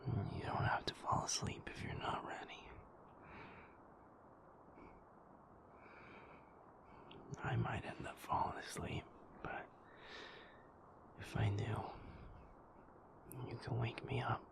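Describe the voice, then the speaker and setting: A man playing a tender, protective werewolf partner in an intimate ASMR roleplay, speaking gently and haltingly in a breathy, gravelly near-whisper. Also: medium pitch, wildly swooping pitch, heavily acted. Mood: soft, drowsy. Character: tender, protective male werewolf partner comforting a sleepless lover.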